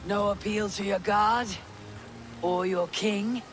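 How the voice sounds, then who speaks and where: An actor playing a resolute, commanding but merciful Viking warrior leader in a video game. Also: male voice, sits high, steady tone, takes it slowly, over music. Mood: firm. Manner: firm, commanding. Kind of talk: dramatic video game acting.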